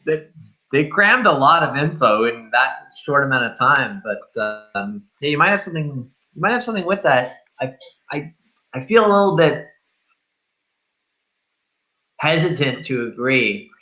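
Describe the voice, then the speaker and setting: A man chatting casually about films as a podcast host, in a hesitant, halting manner. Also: medium pitch, lively intonation, bright, forceful tone, lo-fi sound. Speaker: film review podcast host. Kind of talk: casual movie discussion.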